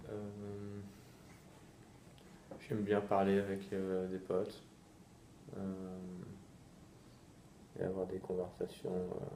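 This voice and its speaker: Brief: deep, gravelly, dark-toned young man from France, slow and flat, echoey room. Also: slight background noise. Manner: hesitant, reflective, many fillers. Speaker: interviewee. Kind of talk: casual self-introduction.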